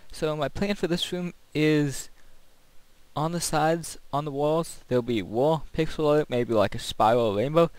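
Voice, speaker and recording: A man's voice: fairly high pitch, measured pace, a little mellow, clean tone, no echo. Casual male gamer narrating his play. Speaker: gaming video host. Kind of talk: casual rambling game commentary.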